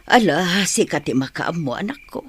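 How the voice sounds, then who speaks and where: A man's voice: fairly high pitch, expressive, normal speed, lightly acted. A man playing a fretful, doting elderly mother in an Ilocano audio drama. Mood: tender worry. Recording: clearly noisy track with chirping insects.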